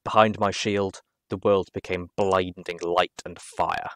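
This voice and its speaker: Low-voiced man narrating a story, close and dry. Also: fairly even intonation, a little slow, fairly bright tone, no echo. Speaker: narrator reading a story aloud. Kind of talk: expressive first-person audiobook narration.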